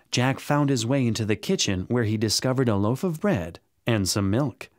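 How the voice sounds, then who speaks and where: A man narrating a scripted children's story in an expressive, dramatic way. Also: medium pitch, very noisy. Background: music.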